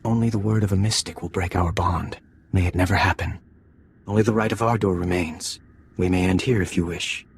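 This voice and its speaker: A solemn, deep-voiced alien bridegroom. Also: male voice, fairly even intonation, a little fast, gravelly, a little hiss or hum. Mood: solemn, tender. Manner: formal, restrained, ceremonial.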